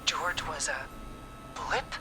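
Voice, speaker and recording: A woman's voice: low-pitched, expressive, slow, background noise. An actress playing a vengeful, unstable young woman in a video game, dramatic and bitter, often dropping into whispers. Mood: outraged.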